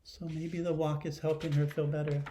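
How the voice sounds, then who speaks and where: A middle-aged man, a teacher, reading a children's picture book aloud expressively, pausing to put questions to his listeners. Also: on the high side, little pitch movement, mellow, slight background noise. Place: living room at home.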